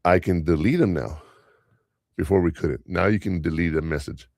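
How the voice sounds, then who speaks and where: Gravelly deep-voiced male podcast host. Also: moderate pace, darkish tone, no room sound, clean studio sound. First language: Spanish. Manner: rambling, anecdotal, chuckles often. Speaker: podcast host and school teacher. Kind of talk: casual podcast chat.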